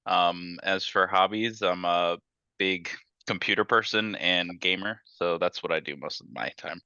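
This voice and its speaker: A man slowly finishing his self-introduction to an online class over a video call. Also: low voice, bright, forceful tone, clear, resonant tone, dry recording. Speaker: student. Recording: thin lo-fi call audio.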